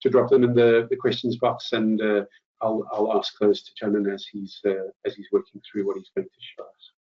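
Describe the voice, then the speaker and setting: Male webinar host, halting and repetitive delivery, dull lo-fi sound, off-mic. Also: lowish voice, level tone. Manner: hesitant, repeats words and rephrases often. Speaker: host presenter. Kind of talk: informal product update webinar. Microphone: online meeting call. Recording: muffled lo-fi online call, distant mic.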